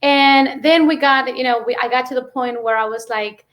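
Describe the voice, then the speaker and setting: A woman with a Mexican accent and Spanish as her first language tells her story casually and haltingly, often saying "you know.". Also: high voice, steady tone, fairly bright tone. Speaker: interview guest, businesswoman.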